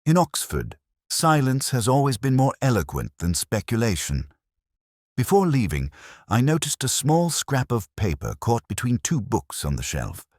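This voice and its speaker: A man playing a brooding, world-weary, literary police detective, narrating his story in a measured, solemn, wry manner. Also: medium pitch, wildly swooping pitch, no room sound, clean studio sound. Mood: brooding, reflective. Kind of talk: scripted first-person detective story narration.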